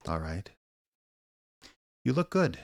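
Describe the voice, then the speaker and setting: An actor playing an older, wry private eye with a dry, sardonic, kindly manner in a radio drama. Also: male voice, deep voice, sing-song, slow pace, full character voice. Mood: gently awkward. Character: aging wry small-town private eye, gruff but kindly. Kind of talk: radio drama acting with narration.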